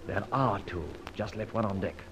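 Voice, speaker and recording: An actor playing a breezy, scheming gentleman adventurer in an old radio serial, with clipped old-fashioned diction. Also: on the low side, normal speed, crowd noise, close to an everyday voice. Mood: low, conspiratorial. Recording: muffled, noisy vintage radio recording.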